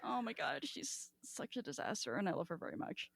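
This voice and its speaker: A woman's voice: medium pitch, sing-song, fairly quick, creaky, fairly bright tone, breathy edge. A woman co-hosting a podcast in casual, sweary book chat with emphatic asides. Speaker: podcast co-host.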